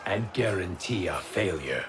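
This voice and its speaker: An actor playing a sly schemer with dry wit in a video game cutscene, speaking in a knowing way. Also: male voice, deep voice, animated, a little slow. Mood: wry. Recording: loud music behind the voice.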